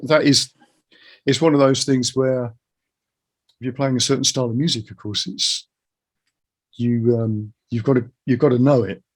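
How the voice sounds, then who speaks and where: Middle aged guy hosting a loose chat, kinda husky, thinks out loud and circles round ideas. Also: medium pitch, fairly even intonation, measured pace, long pauses. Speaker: interviewer, guitar teacher. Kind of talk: casual chat, musing.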